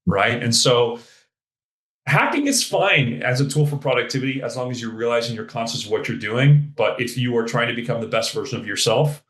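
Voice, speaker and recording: A man speaking as a podcast guest, talking in an animated, emphatic way and swearing casually. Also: mid-range voice, brisk. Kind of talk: casual interview talk. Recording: roomy echo.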